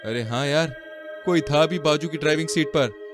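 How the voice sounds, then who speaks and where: A man voicing a nervous, placating young driver in an animated horror-comedy story. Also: on the high side, moderate pace, over music, pitched above the performer's own voice, natural voice. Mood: frightened.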